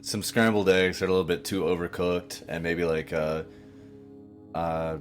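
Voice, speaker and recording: A man improvising casually as a tabletop player, deliberate and dryly comic. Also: low-pitched, fairly even intonation, soft background music. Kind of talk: casual improvised role-play.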